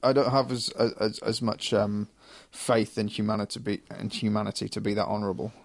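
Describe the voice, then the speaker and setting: A man in casual discussion as a co-host, halting and often stammering over his words. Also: fairly low pitch, level tone, gravelly, dry recording. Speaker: co-host of a chat show.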